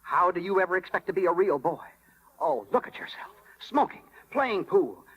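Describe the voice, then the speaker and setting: A man's voice: sits high, sing-song, moderate pace, gravelly, husky, highs cut off, heavily acted. An actor voicing a small male cricket conscience, fussy, caring and scolding, in folksy 1930s radio style. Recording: vintage 1939 radio with music.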